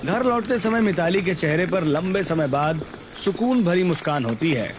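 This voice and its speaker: A man's voice: high-pitched, lively intonation, normal speed, over music. A man reading scripted narration for a Hindi cartoon story.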